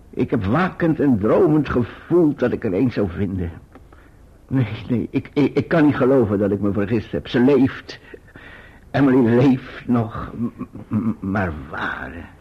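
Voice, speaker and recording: A man's voice: on the low side, animated, unhurried. An actor playing a devoted older working man in a Dutch radio play, stammering and repeating his words. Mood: insistent hope. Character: Baas Peggotty, devoted older working man searching for lost Emily. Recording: muffled, husky old radio recording.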